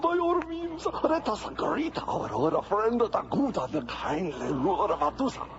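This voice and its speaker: An actor playing an alien warlord turned genial and dreamy, doddering and effusive in old-time radio drama style. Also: male voice, extremely high pitch, big pitch swings, measured pace, gravelly, crowd noise, full character voice. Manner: doddering, effusive, full of vague murmurs. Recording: noisy, dull vintage radio recording.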